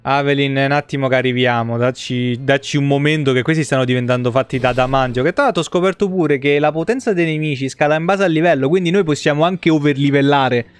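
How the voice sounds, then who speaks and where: A man's voice: medium pitch, quick pace, few pauses, clear, resonant tone. A man, giving informal, colloquial live commentary on a video game. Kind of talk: casual live gameplay commentary. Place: small indoor room at a desk. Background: game music.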